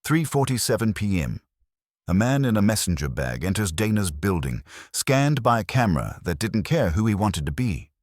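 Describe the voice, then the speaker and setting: A man's voice: on the low side, sing-song, moderate pace, dry recording. A man reading a scripted first-person detective story in a wry, dry, hard-boiled way. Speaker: narrator.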